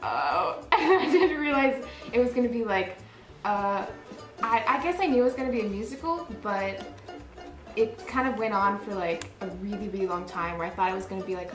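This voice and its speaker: A woman's voice: mid-range voice, normal speed, echoey room, music in the background. A woman casually recounting a personal story in a hesitant, rambling, conversational way.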